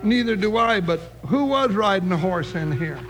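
A man's voice: high-pitched, expressive, normal speed, a bit bright, low-quality recording. A man playing a comic, easily spooked American cowboy sidekick with a folksy, complaining drawl in a 1950s radio western. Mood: nervous, grumbling. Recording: old radio recording, faint noise.

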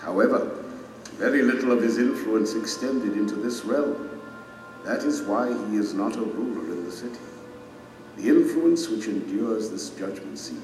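Casting: stern royal judge, low expressive voice, solemn and commanding, audio-drama fantasy, vast hall reverb. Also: male voice. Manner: solemn, measured, authoritative. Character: majestic stern male king judging his subjects. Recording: cavernous reverberant hall, distant, music underneath.

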